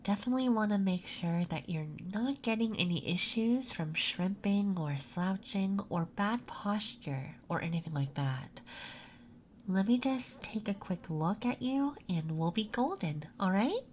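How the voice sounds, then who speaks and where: A gentle, reassuring ASMR performer playing a nurse, speaking softly and breathily through a soothing medical exam roleplay. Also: mid-range voice, expressive, a little slow, slight background noise. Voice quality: soft, breathy whispering.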